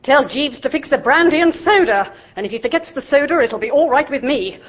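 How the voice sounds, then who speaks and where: A bossy, hearty middle-aged aunt, played by a woman, barks orders in a high, brusque voice. She is loud and blunt. The recording sounds dull. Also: lively intonation, a little fast. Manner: brusque, booming.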